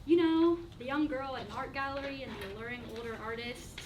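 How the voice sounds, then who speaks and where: A woman's voice: high voice, a little fast, noisy background, close to an everyday voice. A woman playing an anxious, wry 23-year-old in a staged play reading, hesitant but pointed and dry. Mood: pointed, probing. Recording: distant mic, audience sounds.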